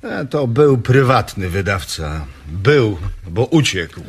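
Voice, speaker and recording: An actor in a radio comedy playing a modest, broke poet with a hesitant, deadpan, self-deprecating manner. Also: male voice, on the low side, slow, husky, exaggerated voice. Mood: glum, resigned. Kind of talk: radio comedy drama acting.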